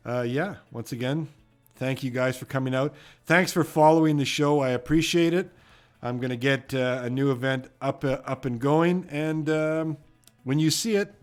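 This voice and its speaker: Chatty Canadian man hosting a live stream. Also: medium pitch. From Edmonton, Canada. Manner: chatty and rambling, many fillers and "you know what". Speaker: trivia live stream host. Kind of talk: casual live stream chat, reading viewer comments.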